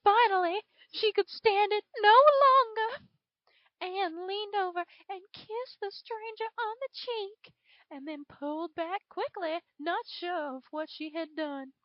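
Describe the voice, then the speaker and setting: A woman's voice: very high voice, slow, no echo, highs cut off. A woman voicing a drawling southern dragon. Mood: dramatic. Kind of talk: reading fan fiction aloud.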